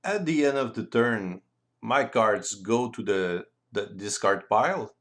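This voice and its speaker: A man with a French accent, a native French speaker, giving a careful, deliberate tutorial voice-over on board game rules in non-native English. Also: on the low side, lively intonation, fairly bright tone.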